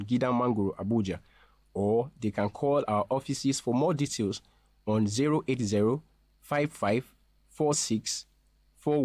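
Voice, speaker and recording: A man speaking as a representative in a scripted promotional interview, explaining things in a formal, measured way. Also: mid-range voice, darkish tone, no room sound. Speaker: university representative being interviewed.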